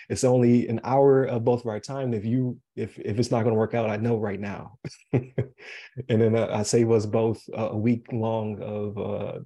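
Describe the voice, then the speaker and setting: A man's voice: on the low side, gravelly, warm tone, breathy edge. An American man from Buffalo, New York, hosting a podcast interview in a casual, chatty, rambling way. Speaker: podcast host.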